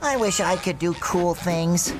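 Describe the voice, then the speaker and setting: A man playing an excitable, naive young male sea sponge in a cartoon game, with a squeaky, nasal, gushing voice. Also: measured pace, low-quality recording. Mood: eager, wishful. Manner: gushing, exuberant, childlike. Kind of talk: cartoon game cutscene acting. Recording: music under the voice.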